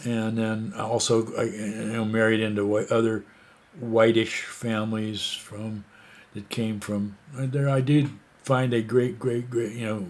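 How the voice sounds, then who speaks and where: An American man talks in an unhurried, rambling way, stopping often for fillers and restarts. His tone is indignant as he comments on the news, and his slow delivery lets each point drag out. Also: lowish voice. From United States. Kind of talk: casual opinion monologue.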